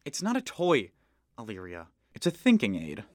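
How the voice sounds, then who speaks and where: A man playing a brooding kobold detective in a noir audio drama, wry and terse, with dramatic pauses. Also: on the low side, wildly swooping pitch, dry recording, clean studio sound. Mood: dry. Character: brooding male kobold detective, hard-boiled noir sleuth.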